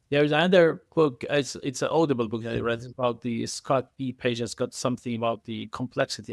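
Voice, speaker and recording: A man speaking as an expert podcast guest in a conversational way, haltingly, often repeating words and circling through filler phrases. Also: medium pitch, no room sound, studio quality. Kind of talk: conversational expert interview answers.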